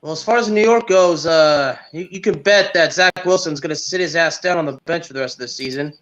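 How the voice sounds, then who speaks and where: A man's voice: on the high side, brisk, a bit bright, highs cut off. A man co-hosting a sports podcast, giving casual, opinionated football commentary and repeating words for stress.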